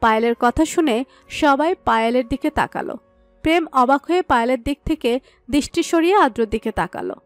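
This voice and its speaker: A woman narrator reads a scripted romantic story in a very high voice. The recording is dry, with soft music behind her. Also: animated, fairly quick, dry recording. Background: background music.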